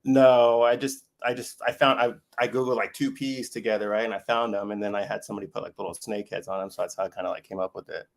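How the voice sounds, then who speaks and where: A quick-talking man with a low voice chats as a guest. His sentences trip and restart, full of casual fillers. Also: fairly even intonation, gravelly. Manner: fast, halting, lots of "like" and "you know what I mean". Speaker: snake breeder, guest. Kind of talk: casual interview chat.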